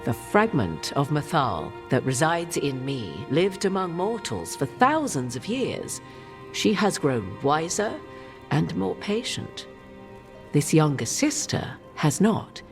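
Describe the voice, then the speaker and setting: A proud, cryptic sorceress who speaks in old-fashioned, measured phrases. Her voice rises and falls widely as she lets out her secrets one at a time. She sounds aloof and sure of herself. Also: deep voice, sing-song, music in the background, full character voice. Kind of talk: video game cutscene acting.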